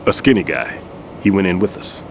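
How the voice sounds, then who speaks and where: An actor playing an older male bomb disposal expert, a patient mentor who explains things in a measured, instructive way in a game cutscene. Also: low-pitched, animated, noisy background. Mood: calm instructive. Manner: instructive, reflective. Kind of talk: video game cutscene dialogue. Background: music score.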